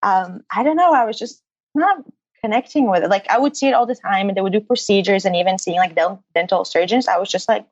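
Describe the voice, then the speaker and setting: A woman's voice: medium pitch, quick pace, dry recording, muffled recording. A young woman from Israel in her twenties, a guest answering interview questions earnestly with frequent fillers. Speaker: guest, incoming medical student.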